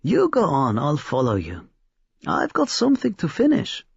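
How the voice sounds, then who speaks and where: An eager, proud old toymaker from a storybook, acted by a man. He speaks in a hearty, excitable way, pitched up and swooping, with a husky edge. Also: moderate pace, heavily acted. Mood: excited, secretive. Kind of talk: storybook character reading. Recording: roomy, slightly dull recording.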